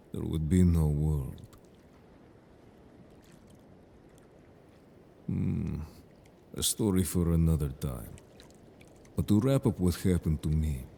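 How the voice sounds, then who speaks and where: A man playing a calm, confident, protective merfolk in an audio roleplay drama, speaking with measured, formal phrasing. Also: sits low, creaky, a little hiss or hum. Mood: assured, explanatory.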